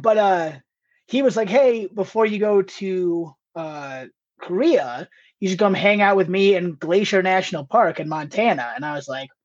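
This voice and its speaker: High-voiced man, casual rambling chat. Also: normal speed. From Ohio, US Midwest. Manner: rambling, laughs easily. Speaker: stand-up comedian, guest. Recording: dull lo-fi sound.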